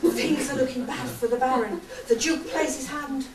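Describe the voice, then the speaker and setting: A woman's voice: high voice, normal speed, a crowd in the background, close to an everyday voice. A woman playing a chirpy young male servant who narrates a pantomime, declaiming to the audience in amateur stage style. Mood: dramatic storytelling. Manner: bright, declamatory, addressing the audience directly. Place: theatre or hall stage with audience. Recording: echoey hall, distant mic, audience noise.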